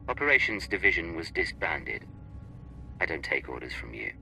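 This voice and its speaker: A space opera spy for a video game, cool and bitter. The voice sits very low with gravel in it and a hard, bright edge. Delivery is measured and clipped. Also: male voice, very animated, soft background music, exaggerated voice. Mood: cold, bitter. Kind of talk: cinematic video game acting.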